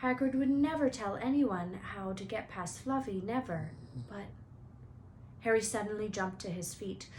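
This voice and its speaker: Female read-aloud storyteller, medium pitch, expressive narration, faint background noise. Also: normal speed, poor audio.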